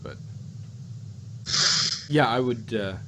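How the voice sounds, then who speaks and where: A man chatting casually as a review co-host, often halting, repeating words and trailing off. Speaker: podcast co-host reviewing audio dramas. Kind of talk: casual chat. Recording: loud background noise, vehicle-like rumble.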